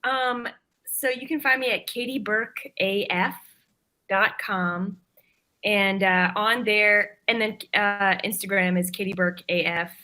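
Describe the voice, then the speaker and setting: A woman's voice: mid-range voice, fairly even intonation, slow, bright, forceful tone. A woman speaking as a panel guest in a casual chat, with loose, breezy phrasing. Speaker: writer and voice actor, panel guest.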